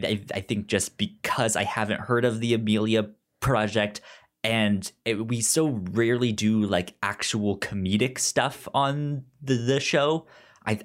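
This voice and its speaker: A man co-hosting a podcast in casual chat, stammering and often repeating words and syllables. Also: lowish voice. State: short on sleep. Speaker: podcast co-host.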